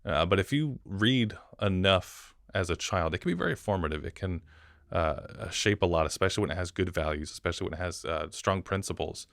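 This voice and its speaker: A man's voice: low voice, brisk, creaky, a bit bright, no echo, clean studio sound. An American man co-hosting a conversational podcast interview. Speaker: podcast co-host.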